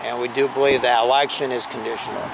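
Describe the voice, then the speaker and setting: A man's voice: medium pitch, fast. A man doing open-air street preaching and then debating a passerby, insistent and earnest, recorded on a camera in a parking lot. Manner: loud, insistent preaching that drops to calmer conversation. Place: outdoor church parking lot. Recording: wind noise on an outdoor camera mic.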